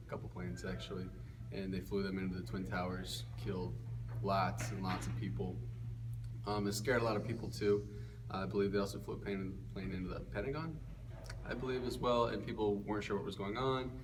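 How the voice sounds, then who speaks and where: A young man with a deep, low voice answers questions haltingly, unsure of himself. He sits away from the mic, with clear background noise around him. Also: recorded from a distance. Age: young adult. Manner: hesitant, uncertain, many fillers. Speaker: interviewee. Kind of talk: casual interview answers.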